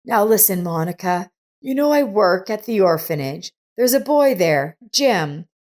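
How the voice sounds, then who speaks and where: An ailing, kindly older woman from a storybook, voiced by a female narrator. She speaks slowly and gently, with earnest pleading. Her pitch is moderate. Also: expressive, lightly acted. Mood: earnest, solemn.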